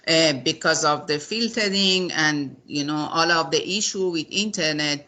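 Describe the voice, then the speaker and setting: A woman conducts an interview at an unhurried pace, her voice bright and a little sharp. She speaks in a measured, hesitant way, restarting her phrases. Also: lowish voice. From Iran. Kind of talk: interview conversation in English.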